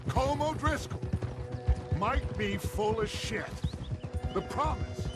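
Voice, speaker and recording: A middle-aged American outlaw gang leader from a western game. His voice sits fairly high and he speaks slowly, with grand, persuasive idealism. Loud music and horse hooves run behind him. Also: expressive, exaggerated voice. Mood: impassioned. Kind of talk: dramatic video game acting.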